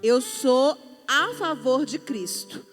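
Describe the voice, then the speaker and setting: A woman's voice: sits high, lively intonation, slow pace. A woman speaking assertively on a church youth panel, in a preachy tone. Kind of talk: conversational church panel talk. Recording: music playing underneath.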